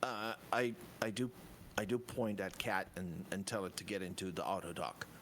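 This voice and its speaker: A man playing a tabletop roleplaying game, speaking casually and haltingly, often repeating words. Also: low voice, steady tone, a bit bright, slight background noise.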